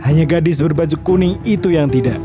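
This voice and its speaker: A performer voicing a calm, decisive young prince in a children's animated folktale. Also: medium pitch, a little fast, music in the background, natural voice. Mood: stern.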